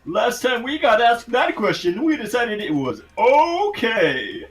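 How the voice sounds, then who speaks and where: A man's voice: very high-pitched, very animated, takes it slowly, far from the mic, faint music behind. A man bantering casually with friends as he plays and dubs a game. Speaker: fandub player. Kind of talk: casual group chat while playing and dubbing a game.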